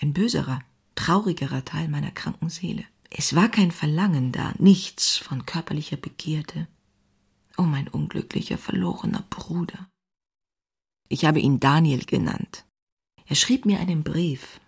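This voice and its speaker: An actress playing a passionate, restless young woman writer who confides her diary in an intimate, confessional, often breathy way. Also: sits low, unhurried, darkish tone, exaggerated voice. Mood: ecstatic, anguished. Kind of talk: dramatic diary reading.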